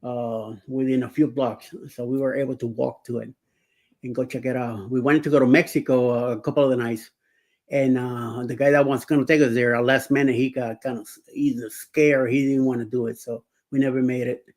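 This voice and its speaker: A man's voice: medium pitch, level tone, a little fast, darkish tone. Chatty man in his sixties, rambling. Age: 60s. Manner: rambling, many fillers and restarts, laughs often. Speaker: show host. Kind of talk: casual live-stream chat.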